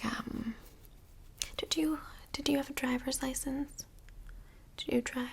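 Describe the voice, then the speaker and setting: A woman playing a kindly, tactful couples' counselor in a soft-spoken ASMR role play, whispery, breathy and gently reassuring, with some hesitation. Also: mid-range voice.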